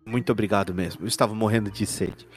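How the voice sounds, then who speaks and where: A man voicing a polite, probing male detective in a tabletop game, formal and deliberate. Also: on the low side, fairly even intonation, faint music behind, close to an everyday voice.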